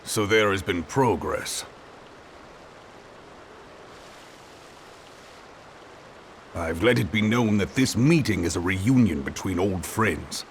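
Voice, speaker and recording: An actor playing a gruff older male ex-gladiator turned politician in a game cutscene, hearty and bluff in manner. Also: sits low, lively intonation, moderate pace, a little hiss or hum. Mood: businesslike. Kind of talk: video game cutscene voice acting.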